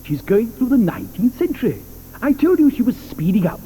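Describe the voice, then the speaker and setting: An excitable, eccentric chocolate maker, in a very high, lively voice that leaps around as he exclaims. Warm and mellow in tone, with a faint hum under the old audio drama recording. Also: fairly quick. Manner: excitable, theatrical, whimsical exclamations. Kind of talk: 1980s radio drama acting.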